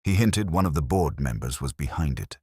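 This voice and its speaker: Guy doing cagey woman witness in a mystery story, defensive, snappy, very low husky pitch. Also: very animated, a little fast, darkish tone, no room sound, studio quality, heavily acted. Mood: guarded. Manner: guarded, evasive, snappy. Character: defensive, reluctant, sharp-eyed female witness under questioning.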